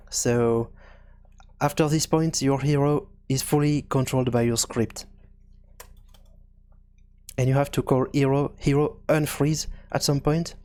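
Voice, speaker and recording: A man presents a screencast tutorial at a slow pace. His pitch sits a little high for a man. He talks hesitantly, working things out aloud as he goes. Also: a little mellow. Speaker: video game programming tutorial host.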